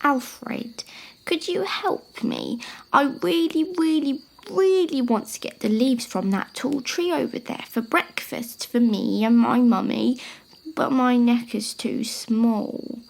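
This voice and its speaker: A woman voicing a timid, excitable young boy giraffe who stammers, in a children's storybook. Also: a little high, slow, vocal fry, voice pushed up, exaggerated voice, rough recording. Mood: curious, polite. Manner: stammers when nervous or curious.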